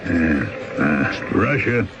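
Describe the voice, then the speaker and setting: A man's voice: deep voice, lively intonation, drawn-out words, dull audio, full character voice. An actor playing a weary, fatalistic old Siberian boatman in an old-time radio drama, speaking in a stern, philosophical, declamatory way. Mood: weary, resigned. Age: older.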